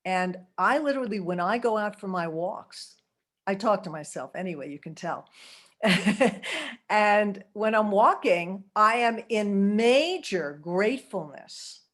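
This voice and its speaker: A woman's voice: mid-range voice, expressive, slow pace. A woman in her seventies giving a holistic health talk over a video call, chatty, laughing often and tossing in asides like "okay?". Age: 70s.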